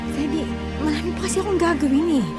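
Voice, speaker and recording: A woman's voice: sits high, fast, loud music behind the voice, natural voice. A woman playing a weary, protesting wife in a Filipino radio drama, pleading with a Filipino accent. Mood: reluctant, irritated. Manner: pleading, exclamatory. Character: weary, protesting wife and mother, fearful of her husband.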